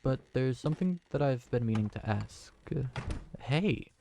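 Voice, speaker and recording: A man's voice: medium pitch, expressive, normal speed, vocal fry, mellow, a little hiss or hum. A man playing a kind, fumbling tavern keeper. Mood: cheerful. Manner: hesitant, fumbling, friendly. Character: kind, awkward young male tavern keeper named Briar. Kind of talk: fantasy audio roleplay.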